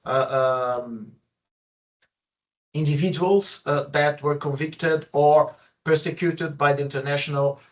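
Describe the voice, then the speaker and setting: A man with a Brazilian accent and a fairly high voice moderates a professional panel online. He speaks in a halting way, often repeating a word before he moves on. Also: fairly even intonation. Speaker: discussion moderator. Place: online video call.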